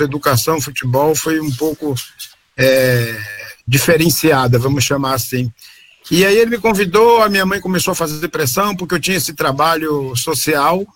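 A man's voice: a little high, a bit bright. A middle-aged man from Salvador, telling his story as a guest on a video call from home, rambling and tagging sentences with "né?". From Salvador, Bahia, Brazil. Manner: rambling, frequent "né?" tags and self-corrections. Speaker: interview guest. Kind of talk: casual storytelling interview. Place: home room.

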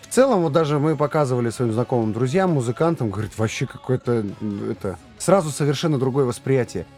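A man who plays keyboards in a band, telling casual, lively stories on a podcast and mimicking other people as he goes. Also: mid-range voice, quick pace, soft background music.

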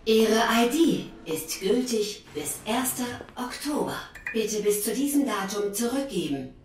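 A woman voicing an automated security system, making formal, measured announcements in an impersonal way. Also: fairly high pitch, sing-song, darkish tone. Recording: very echoey, distant, noisy announcement.

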